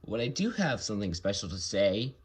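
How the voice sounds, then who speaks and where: A man giving a casual, unscripted review of his hobby collection, talking haltingly and pausing mid-phrase. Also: lowish voice, sing-song, husky. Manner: halting, rambling delivery with pauses mid-phrase.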